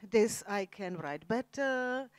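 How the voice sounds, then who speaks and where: A woman from the Czech Republic, a native Czech speaker, speaking in halting, basic English with a Czech accent as a guest author in an onstage conversation. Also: mid-range voice, lively intonation, smooth, dry recording. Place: cultural center event hall, Jerusalem.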